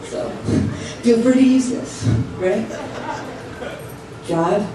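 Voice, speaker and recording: A woman's voice: fairly high pitch, takes it slowly. A woman in her forties doing a live stand-up comedy routine as a playful storyteller, recorded from a distance on a club stage. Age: 40s. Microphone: handheld mic, captured by far camera. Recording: distant, echoey club recording with audience noise. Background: audience crowd noise and laughter.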